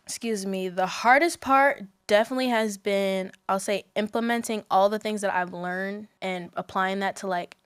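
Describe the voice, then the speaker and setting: A woman's voice: mid-range voice, normal speed, few pauses, smooth, dry recording. A young adult woman speaking as a student guest in a casual podcast interview, informal and candid in the way she talks.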